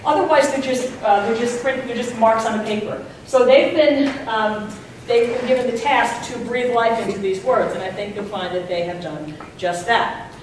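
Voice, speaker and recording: A woman drama teacher giving an informal, halting introduction to a student performance. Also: on the high side. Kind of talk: informal spoken introduction to an audience. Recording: echoey hall, distant mic, faint noise.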